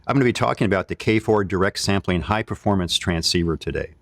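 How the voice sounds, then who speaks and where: Man, low voice, technical presenter, informal explanatory delivery, conversational, dry close sound. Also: fast talker, gravelly, dry recording. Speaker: company presenter demonstrating radio equipment. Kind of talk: informal product demo presentation.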